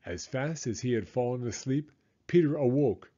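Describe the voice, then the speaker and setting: A man narrates a children's story with lively, swooping intonation and dramatic expression. His voice is mellow and a little husky, and the recording is slightly dull. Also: mid-range voice, normal speed.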